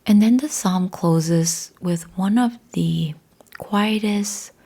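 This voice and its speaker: A woman leading a guided meditation in a measured, hushed and deliberate way, leaving long pauses between short phrases. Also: mid-range voice, slightly rough. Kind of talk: slow guided meditation narration.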